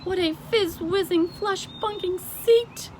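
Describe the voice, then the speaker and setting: Over clear background noise, a woman reader puts on a friendly storybook giant, pitched well up and full of exclamation. The giant bungles grammar and tumbles through invented words with childlike excitement. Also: slow. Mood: excited, amazed.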